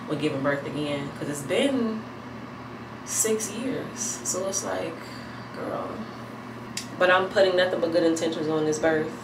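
Low-voiced woman rambling in an echoey bathroom. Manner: relaxed, rambling, thinking aloud. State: heavily pregnant. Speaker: vlogger. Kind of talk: casual chat to camera. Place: small bathroom at home, indoors. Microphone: camera mic a few feet away. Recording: echoey room, distant mic, air conditioner hum.

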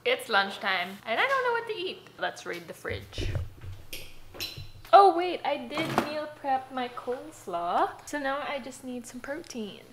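Woman in her twenties, chatty home vlog, slightly high voice, giggly, handheld camera, faint background hiss. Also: big pitch swings, a little slow. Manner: chatty, giggly, with frequent "like" fillers. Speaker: vlogger. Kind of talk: casual vlog chat. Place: home kitchen and dining room, indoors. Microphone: handheld camera held at arm's length.

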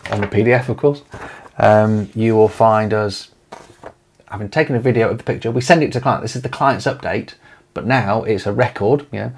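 A man, talking casually to camera from a home office, rambling with many fillers and restarts while leafing through papers. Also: on the low side. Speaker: property investment company owner. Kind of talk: casual to-camera video talk. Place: home office with desk and monitors.

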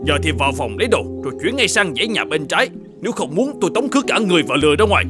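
A man's voice: high voice, sing-song, rapid-fire, over music. An actor playing a hot-tempered, domineering middle-aged innkeeper and vengeful father in a cartoon folk tale, blustering and threatening. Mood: gruff, irritated.

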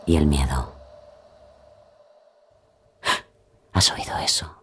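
An actor playing a sinister, haunting inner voice, eerie and insinuating, in a poetic gothic audio drama. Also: male voice, rumbling low, a little fast, fairly bright tone, slight background noise. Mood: menacing, whispered. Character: sinister, ominous male inner voice haunting the story.

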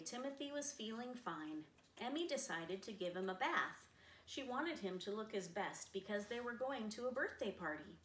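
A middle-aged woman reads a picture book to children with expressive delivery. Her voice is medium in pitch. It is picked up from across a roomy living room, with faint noise in the recording. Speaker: read-aloud storyteller. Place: living room, seated on a sofa. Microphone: camera a few metres away. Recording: roomy, distant camera mic, faint noise.

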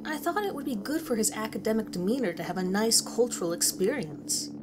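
A woman plays a concerned teacher recounting an unsettling horror story. The delivery is dramatic and swings widely in pitch, over clearly audible background ambience. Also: sing-song, fairly quick, background noise. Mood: uneasy, reflective. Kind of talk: dramatic scripted narration.

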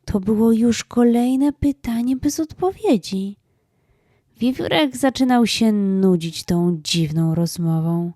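A woman narrating a children's story in a calm, scripted storytelling style. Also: a little high, slow, no echo, clean studio sound.

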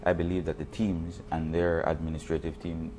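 A man's voice: very deep voice, flat delivery, normal speed, warm tone, a little hiss or hum. A man, explaining things as a guest in a studio interview and repeating words as he goes. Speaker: basketball league official, interview guest. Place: TV studio set, indoors. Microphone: lapel.

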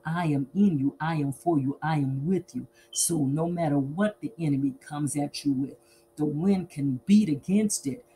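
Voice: medium pitch, dark tone, slight background noise, poor audio. A preacher delivering an emphatic sermon, repeating phrases and asking rhetorical questions then answering them.

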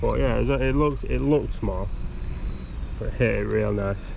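A man's voice: medium pitch, moderate pace, vocal fry, a little mellow. A young man chatting upbeat to camera while fishing on a windy riverbank, picked up by an action camera. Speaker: fishing vlogger. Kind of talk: casual outdoor commentary. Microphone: action camera on body or tripod.

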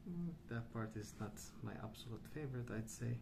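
A man speaking softly, at times near a whisper, in an unhurried, musing ASMR ramble while colouring. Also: mid-range voice, animated, creaky, noisy background. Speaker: host of a colouring ASMR channel. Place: indoors at a wooden table.

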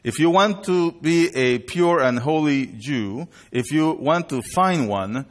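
Middle-aged guy w a preaching at a lectern, slow and deliberate. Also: a little high, lively intonation. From Chile and Panama. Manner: measured, deliberate delivery with bilingual openings. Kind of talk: sermon at a worship conference. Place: indoor hall with a screen. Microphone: lectern mic, far camera.

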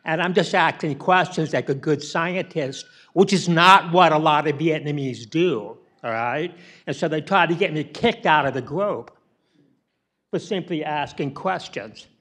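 A man's voice: a little high, a little slow, fairly bright tone. A middle-aged man asking a question from the audience, rambling and tagging his sentences with okay and all right. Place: conference ballroom. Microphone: handheld mic.

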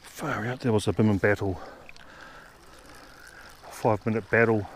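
A man in his forties with a New Zealand accent, muttering a relaxed running commentary while fishing from a harbour beach, recorded on an action camera. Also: lowish voice, moderate pace, slight background noise. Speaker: fishing vlogger.

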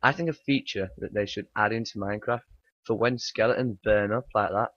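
A man with a croaky morning voice, rambling through casual gameplay commentary with many ums and uhs. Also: lowish voice, a little fast, fairly bright tone, no echo. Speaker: gaming channel host. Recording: dry, dull lo-fi home mic.

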